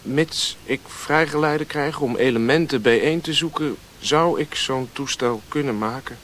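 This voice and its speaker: A man's voice: medium pitch, measured pace, a bit bright, a little hiss or hum, natural voice. A man playing a guarded, disillusioned Western radio operator in a radio play, restrained and serious. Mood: resolute.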